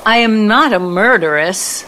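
Actress as bitter sardonic ex in a radio play, very animated, bit of noise behind. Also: female voice, mid-range voice, measured pace. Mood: bitter, defiant. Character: bitter, dramatic, vengeful ex-girlfriend, defiant and sardonic. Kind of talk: audio play acting.

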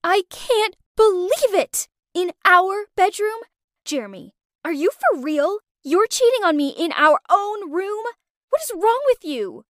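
A woman doing a shrill, emphatic young woman. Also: sing-song, unhurried, no room sound, clean studio sound, full character voice. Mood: furious. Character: young woman friend, excitable then furious.

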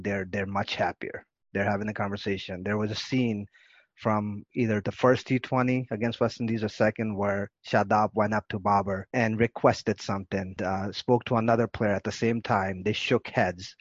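A man co-hosting a sports podcast, talking casually and analytically in long, measured explanations. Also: fairly low pitch, dry recording. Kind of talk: casual cricket commentary and analysis. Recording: dull lo-fi dry audio.

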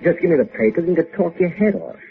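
A male radio thug, oily and threatening, creaky voice that swings up and down, narrow vintage sound. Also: fairly high pitch, sing-song, fast. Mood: coaxing menace. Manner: wheedling, threatening, repetitive. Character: bullying menacing crook, falsely sweet to his woman. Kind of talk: 1950s radio drama acting. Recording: narrow-band old radio recording.

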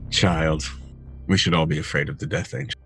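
A man playing an anxious, apologetic older gentleman in a tabletop roleplaying game, speaking in a halting, evasive way. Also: rumbling low, animated, gravelly, husky, soft background music, full character voice. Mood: grave.

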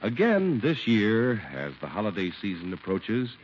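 A man's voice: on the low side, wildly swooping pitch, moderate pace, a little hiss or hum. An American man reading scripted sponsor announcements and narration as a 1950s radio announcer. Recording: dull old radio broadcast recording.

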